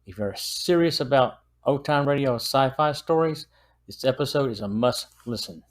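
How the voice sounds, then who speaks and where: Guy reading his podcast review, middle pitch, straightforward and earnest. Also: expressive, moderate pace. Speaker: podcast host. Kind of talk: semi-scripted podcast review narration.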